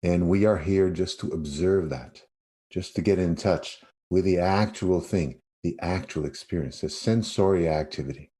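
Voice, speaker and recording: Deep, mellow-voiced man guiding meditation. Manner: unhurried, with spacious pauses. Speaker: yoga nidra meditation teacher. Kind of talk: guided meditation.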